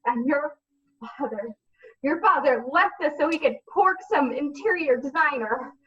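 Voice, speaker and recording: A woman's voice: sits high, expressive, measured pace, slight background noise. A woman playing an exhausted, frantic single mother in a comedic radio play, with melodramatic exasperation. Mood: weary despair. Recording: dull, distant mic.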